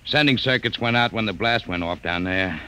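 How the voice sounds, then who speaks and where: An actor playing a dutiful, deferential spaceship radio operator in old-time radio science fiction, respectful and matter-of-fact. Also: male voice, lowish voice, fairly quick, pushed and bright, smooth. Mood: earnest. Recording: faintly hissy old radio recording.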